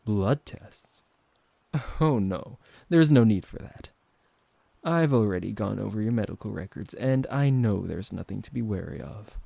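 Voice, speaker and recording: A man acting out a smooth-talking, possessive vampire professor in an intimate ASMR roleplay, politely condescending and teasing, close to the microphone. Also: lowish voice, expressive, measured pace, dark tone, no room sound, full character voice. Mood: persuasive, polite. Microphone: close microphone, dry.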